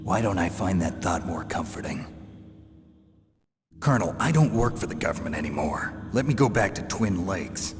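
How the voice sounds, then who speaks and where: A man playing a gruff, cynical retired special forces soldier in a video game, terse and sardonic. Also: animated, normal speed, soft background music. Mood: reluctant, sardonic. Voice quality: low, gruff. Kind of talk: dramatic video game voice acting. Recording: long hall-like reverb.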